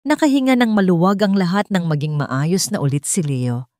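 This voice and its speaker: A Filipino woman narrates a dramatic story in a low register. The recording is dry and close, with hardly any room around her. Her delivery is that of a scripted storyteller. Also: moderate pace, mellow, no room sound.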